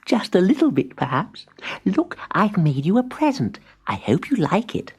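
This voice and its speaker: A woman's voice: mid-range voice, sing-song, moderate pace, a little mellow, husky, pitched above the performer's own voice. A woman voicing a cheerful, kindly little female spider in a children's storybook. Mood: affectionate. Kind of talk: storybook character voice.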